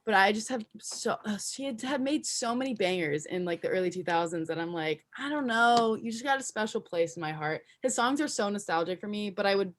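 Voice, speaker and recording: A woman's voice: medium pitch, animated, fairly quick, hardly any pauses. A young woman hosting a casual college podcast in an upbeat, friendly way. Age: young adult. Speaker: podcast host. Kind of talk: casual chat.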